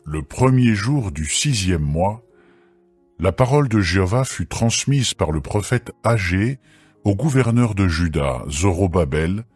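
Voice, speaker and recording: Deep-voiced, slow, husky male scripture narrator. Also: no room sound. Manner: solemn, deliberate. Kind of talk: dramatised scripted Bible reading. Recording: music behind the voice. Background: background music.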